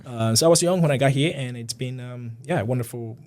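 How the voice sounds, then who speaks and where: A man's voice: medium pitch, fast talker, darkish tone. A man, a physician guest talking earnestly and often repeating himself in a casual interview. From Ghana.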